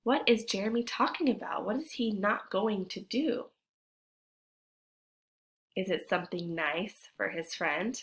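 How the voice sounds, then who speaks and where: A woman reads a children's storybook aloud in the manner of a teacher. Her voice is bright and clear-cut, at a middle pitch. She is expressive and speaks directly to young listeners, guiding them with questions. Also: unhurried, long pauses.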